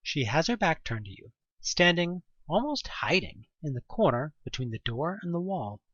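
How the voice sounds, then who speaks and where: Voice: mid-range voice, very animated, normal speed, fairly bright tone, no echo, highs cut off. A narrator reading a scripted second-person story for an audio drama.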